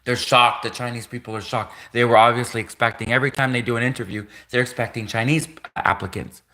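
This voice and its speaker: A man's voice: medium pitch, fairly even intonation, fast talker, pushed and bright. A man hosting a livestream, talking insistently with lots of repetition and restarts. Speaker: livestream host. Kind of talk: livestream rant.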